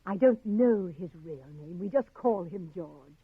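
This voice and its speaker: An actress playing a fluttery, wistful older former stage actress in a theatrical 1950s radio drama. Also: female voice, medium pitch, animated, unhurried, husky, no echo. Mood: wistful delight. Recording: muffled, narrow-band 1950s radio recording.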